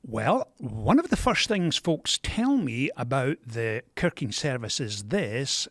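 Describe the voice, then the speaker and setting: Pastor-host type, lively and gently joking; wide pitch swings, dry close mic. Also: male voice, takes it slowly, no room sound. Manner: animated, gently joking delivery. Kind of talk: church podcast announcements and interview.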